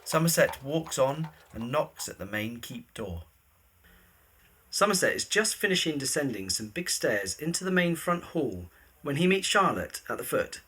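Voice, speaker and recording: A man's voice: fairly high pitch, very animated, normal speed, pushed and bright, slightly rough. A man giving scripted narration of a story, setting each scene in the present tense.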